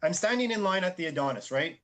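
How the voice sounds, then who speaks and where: A man's voice: high-pitched, animated, quick pace, a bit bright. A man co-hosting a podcast, telling a rambling everyday story in casual chat and restarting his sentences often. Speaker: podcast co-host.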